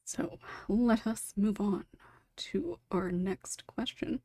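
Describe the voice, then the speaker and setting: A woman's voice: medium pitch, slow pace, warm tone, dry recording, clean studio sound. A woman hosting a casual panel discussion, moving between questions and guests as moderator. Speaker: podcast host and moderator.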